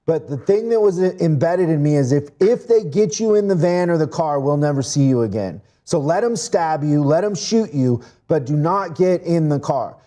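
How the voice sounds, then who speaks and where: A man's voice: fairly high pitch, lively intonation, normal speed, hardly any pauses, mellow. A man who grew up in Mexico, a podcast guest talking business in an earnest, casual way and often restarting his words. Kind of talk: casual interview talk.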